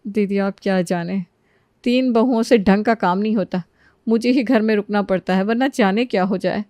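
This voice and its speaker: A woman's voice: mid-range voice, normal speed, no room sound, lightly acted. A woman voicing a stern middle-aged mother-in-law who complains and scolds in a moral storybook tale. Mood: complaining. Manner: complaining, sharp-tongued. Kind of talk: animated moral storybook dialogue.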